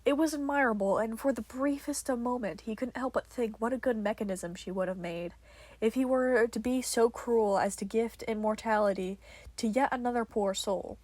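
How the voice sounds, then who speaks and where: A woman's voice: fairly high pitch, moderate pace, a little mellow, dry recording. A woman reading fiction aloud as a podfic narrator, in a dramatic storytelling style.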